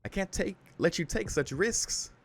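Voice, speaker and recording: A man voicing a polite, insistent young woman caretaker from a visual novel, in a soft lifted voice with careful phrasing. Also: high-pitched, lively intonation, a little slow, voice pushed up, heavily acted. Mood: firm scolding.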